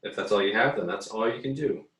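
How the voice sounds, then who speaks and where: Low-voiced man, echoey and distant. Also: a bit bright. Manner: halting, muttering to himself while drawing. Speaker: art teacher. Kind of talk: casual drawing tutorial, thinking aloud. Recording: roomy echo, distant microphone.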